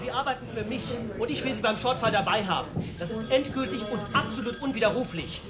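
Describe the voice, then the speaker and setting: An actor playing a gruff, domineering police superior in a radio drama, speaking curtly. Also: male voice, extremely high pitch, quick pace, loud music behind the voice. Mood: stern. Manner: curt, domineering. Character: gruff male police chief. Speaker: police lieutenant.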